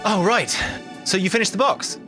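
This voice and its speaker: A man's voice: high-pitched, normal speed, over music. A man chatting casually as a player in a tabletop role-playing game.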